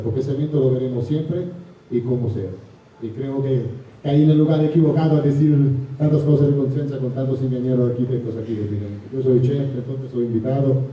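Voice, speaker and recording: A man from Italy with an Italian accent, an invited chef making an earnest, halting remark at a panel discussion. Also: medium pitch, warm tone. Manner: earnest, halting, apologetic about his Spanish. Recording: echoey hall picked up from far off.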